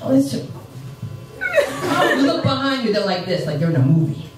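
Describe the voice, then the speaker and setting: A woman from New Jersey doing a brash, animated stand-up set in a comedy club. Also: fairly low pitch, moderate pace, heavy reverb, very noisy. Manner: brash, animated, swinging into mocking voices. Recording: distant mic in a loud club with crowd laughter.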